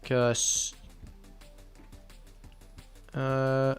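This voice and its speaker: Excitable young man streaming. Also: medium pitch, very slow, soft background music. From Finland. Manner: chatty, bursts into loud excited outbursts. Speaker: game streamer. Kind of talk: casual live gameplay commentary.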